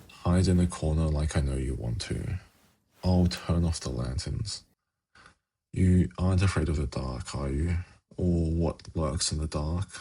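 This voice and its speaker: Seductive demon prince, male actor, fantasy ASMR roleplay. Rumbling bass with heavy fry, slow, sly, intimate coaxing. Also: darkish tone, full character voice. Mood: menacing teasing. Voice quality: gravelly, husky. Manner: slow, intimate, teasing, coaxing with formal wording.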